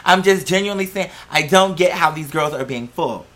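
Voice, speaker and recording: Bright, expressive American vlogger. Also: mid-range voice, fairly quick. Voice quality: slangy speech peppered with "girl" and swearing. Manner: animated, emphatic, conversational rambling. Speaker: host of a talk show vlog. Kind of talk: casual opinionated solo chat.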